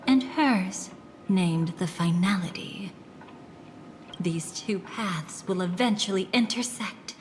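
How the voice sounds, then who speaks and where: An actress playing a composed, enigmatic woman who guides a listener through memories in a video game, speaking in a measured, cryptic way. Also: mid-range voice. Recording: long reverb under clear music.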